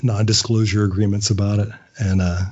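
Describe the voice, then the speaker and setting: A man in his fifties with a husky, slightly low voice talks casually as an interview guest. He is a chatty storyteller who often doubles back on his words. The recording is a little dull and lo-fi. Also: little pitch movement, brisk, darkish tone. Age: 50s. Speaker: podcast guest, audio drama maker.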